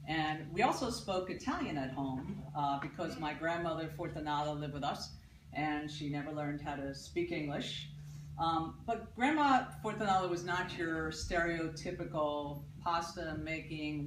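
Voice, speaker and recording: Loud woman storyteller in a roomy theatre. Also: sits low, noisy background. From Paterson, New Jersey. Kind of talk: live storytelling. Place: theatre stage with live audience. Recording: roomy, distant mic, audible ambience.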